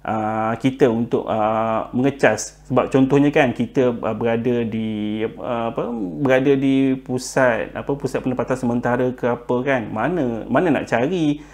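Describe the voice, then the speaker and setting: Male Malaysian presenter, mid-pitched, unscripted how-to talk, filler-heavy and code-switching with English. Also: darkish tone. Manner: halting, frequent filler words and repetition. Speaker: presenter giving buying tips. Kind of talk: informal explainer, unscripted talk.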